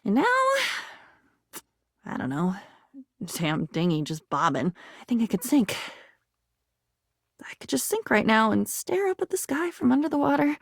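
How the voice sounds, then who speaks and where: An actress voicing a video game character, a sentient diary woman who is intense and whimsical, musing poetically in halting phrases and sudden bursts. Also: fairly high pitch, vocal fry, no echo, clean studio sound, heavily acted. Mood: wistful, wounded.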